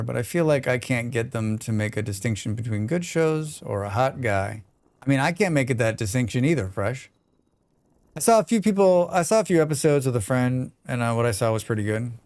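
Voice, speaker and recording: A man's voice: medium pitch, lively intonation, a little fast, darkish tone, dry recording. A man with a plain American accent chatting casually on a live game stream, rambling and talking back to his chat. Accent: General American. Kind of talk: casual chat while gaming.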